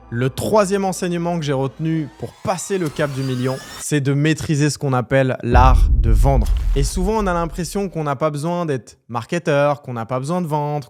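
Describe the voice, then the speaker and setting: A man's voice: a little high, a little mellow. A man in his twenties from Algeria, giving a direct, emphatic motivational talk straight to the viewer. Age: 20s. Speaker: entrepreneur vlogger.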